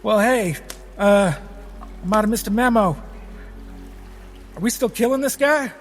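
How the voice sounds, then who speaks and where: A man playing a grudging, sardonic adventurer in a tabletop game, with a halting, dry delivery. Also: high voice, expressive, big-room sound, soft background music, pitched above the performer's own voice. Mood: reluctant, let down.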